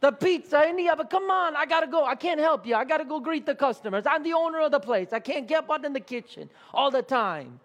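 A man's voice: very high voice, lively intonation, fast, hardly any pauses, fairly bright tone. A man acting in a church skit as a harried, pushy male pizzeria owner, born in Italy and raised in the Bronx, with a put-on New York Bronx accent. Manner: harried, rambling, pushy with the crowd. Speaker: skit performer. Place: church sanctuary. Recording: long church reverb.

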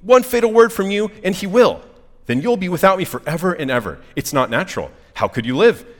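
A man's voice: sits high, lively intonation, brisk, long reverb tail. A man voicing a small lizard that pleads and wheedles in eager chatter for a story. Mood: urgent warning.

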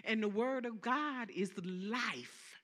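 A woman's voice: on the high side, slow pace, fairly bright tone. A woman with a Southern accent preaching a sermon, emphatic, repeating key phrases and calling out for amens.

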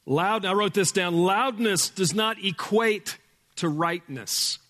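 Loud preacher guy, fairly high voice, big hall echo, gets real loud then real quiet. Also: slow pace. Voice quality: loud speaking voice. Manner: emphatic, swings between booming and hushed. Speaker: pastor. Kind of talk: sermon. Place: church sanctuary with sound board.

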